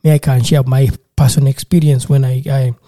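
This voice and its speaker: A man from Uganda speaks in a casual interview, earnest and a little halting, often repeating words as he restarts. His voice is very dark and mellow. The sound is dry and close. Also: medium pitch, little pitch movement, no room sound.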